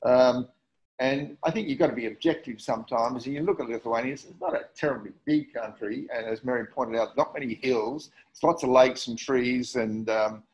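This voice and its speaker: A man's voice: medium pitch, normal speed. A middle-aged man from Australia giving a community history talk over slides, hesitant, with many fillers and repeated words. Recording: dull lo-fi recording.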